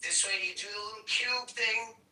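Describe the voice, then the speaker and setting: A man's voice: sits high, fairly even intonation, moderate pace, sharp tone, far from the mic. Streetwise man giving tips, echoey. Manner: instructive, streetwise, swears. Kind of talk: casual chat. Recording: roomy echo, off the mic.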